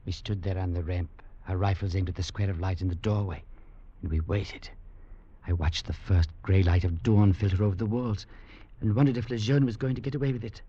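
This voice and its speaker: A man's voice: sits low, steady tone, a little fast, dark tone, husky. An actor playing an earnest young legionnaire who tells his story as a grave, dramatic narrator in a 1940s radio drama. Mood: grave, tense. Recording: dull 1940s radio recording with music.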